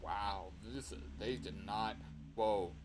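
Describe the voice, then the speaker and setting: A man's voice: fairly low pitch, fairly even intonation, slow, background noise. A man with a worn, strained voice giving casual, rambling livestream commentary while he plays a game, easily sidetracked from what he was saying. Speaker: livestreamer playing games. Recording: loud game music behind voice.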